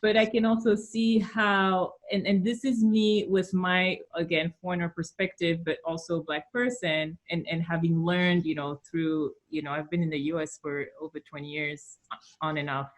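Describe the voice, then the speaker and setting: A woman's voice: lowish voice, little pitch movement, normal speed. A middle-aged woman from Belgium with a Belgian accent, chatting casually and animatedly as she hosts an interview and shares her own experience. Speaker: podcast host.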